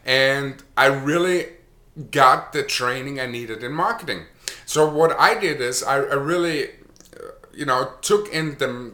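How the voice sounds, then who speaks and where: A man's voice: mid-range voice, sharp tone, roomy sound. A middle-aged man with an Austrian accent, telling his own story casually to the camera, with frequent restarts and fillers. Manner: chatty, repeats words and restarts with many uh's. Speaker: online marketer.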